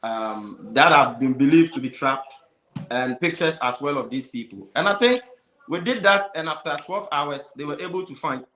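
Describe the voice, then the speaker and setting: A man speaks as an interview guest, his voice pitched somewhat high for a man. He answers casually but emphatically, slipping into pidgin-tinged phrasing. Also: lively intonation, normal speed, a bit bright, poor audio. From Ghana. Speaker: interview guest, special aide.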